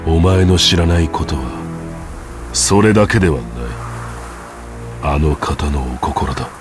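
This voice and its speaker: An actor voicing a grim, weary immortal warrior in a video game, speaking in a stern, formal, measured way. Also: male voice, low-pitched. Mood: stern, cold. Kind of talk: Japanese video game voice acting. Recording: dramatic music under the voice. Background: loud music.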